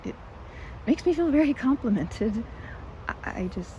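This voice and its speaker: A woman's voice: fairly high pitch, very animated, normal speed, mellow, highs cut off, a little hiss or hum. A woman playing a timid, socially anxious young woman in an ASMR roleplay, stammering and rambling nervously as she corrects herself. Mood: flustered, bashful. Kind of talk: ASMR roleplay, intimate one-sided romantic dialogue.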